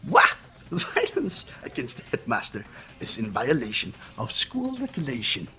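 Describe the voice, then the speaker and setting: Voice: medium pitch, wildly swooping pitch, a little slow, pushed and bright, soft background music, full character voice. Gleefully sadistic robot teddy bear. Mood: mock offense. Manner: theatrical, mock-polite, giggling. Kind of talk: fan voice acting of a video game.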